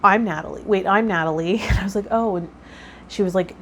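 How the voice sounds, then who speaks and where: A woman's voice: mid-range voice, brisk, fairly bright tone. A woman hosting a personal vlog, talking casually in a rambling, self-effacing way. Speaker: host of a tarot vlog. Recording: clear background noise.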